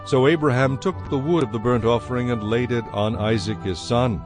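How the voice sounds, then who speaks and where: A man giving a measured, solemn narration of scripture as the narrator of a dramatized production. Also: medium pitch. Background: soft music.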